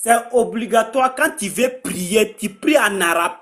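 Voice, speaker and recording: A man explaining his faith in a casual conversation, halting and repeating himself often. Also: high-pitched, lively intonation, fairly bright tone, slightly rough. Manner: halting, repeats words, many restarts.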